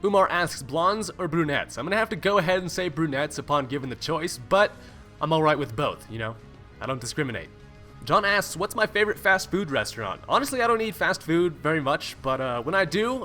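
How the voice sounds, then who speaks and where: Bright-voiced young man, casual. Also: a little high. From California. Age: young adult. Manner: joking, laid-back, swears casually. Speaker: YouTuber answering viewer questions. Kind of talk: casual Q&A voice-over. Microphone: home recording mic, no webcam.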